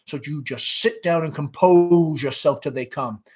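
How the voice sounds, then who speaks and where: A man's voice: a little high, a little slow, a little mellow, lightly acted. A man voicing a fussy, domineering middle-aged mother from the South in a stage play, effusive and imperious. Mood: scolding, exasperated.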